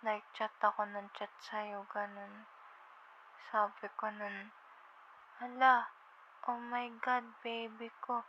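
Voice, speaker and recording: A woman's voice: mid-range voice, level tone, takes it slowly, pauses often, lo-fi sound, slight background noise, lightly acted. A woman playing a sleepy, affectionate young girlfriend on a late-night call, with a Filipino accent and a tender, hesitant, intimate ASMR delivery. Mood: tired, anxious confiding. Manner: drowsy, tender, hesitant, slips between Tagalog and English.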